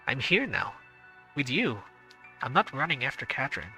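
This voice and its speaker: A man's voice: mid-range voice, sing-song, normal speed, bright, forceful tone, faint music behind, pitched above the performer's own voice, full character voice, poor audio. A man voicing a moody, defensive teenage schoolboy in a visual novel, with plain, wry replies.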